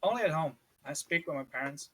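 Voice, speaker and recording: A man whose first language is Vietnamese, chatting casually as a podcast co-host and telling stories in an animated way. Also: fairly high pitch, fast talker, husky, rough recording. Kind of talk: casual chat.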